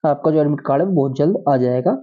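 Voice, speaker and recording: Male explainer, slightly high pitch, informal notice-reading delivery, thin telephone-band audio. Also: quick pace. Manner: addresses viewers as friends, repeats and paraphrases. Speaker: host of a job exam update channel. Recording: tinny, narrow phone-like sound.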